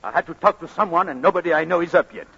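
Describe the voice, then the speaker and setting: An actor playing an exasperated, lovesick newlywed playwright husband, excitable and pleading, in 1940s radio comedy style. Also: male voice, on the high side, very fast, creaky, a little hiss or hum. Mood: agitated. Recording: muffled old radio broadcast, music behind.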